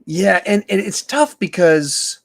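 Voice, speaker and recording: A man's voice: high-pitched, expressive, slow pace, creaky. A man hosting a casual film podcast, explaining his points in a conversational way. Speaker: podcast host.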